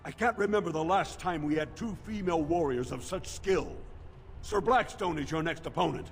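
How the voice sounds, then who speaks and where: Man acting a ceremonial dwarf arena master, booming reverb. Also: normal speed, faint music behind, natural voice. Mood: formal, approving. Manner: ceremonial, declamatory. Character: formal male dwarf arena master announcing honour combats. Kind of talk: video game voice acting. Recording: game audio, long arena reverb, ambient noise.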